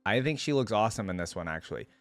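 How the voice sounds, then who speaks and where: A man's voice: fairly low pitch, animated, very fast, no room sound. A man hosting a reaction video, giving his opinions in casual, conversational commentary.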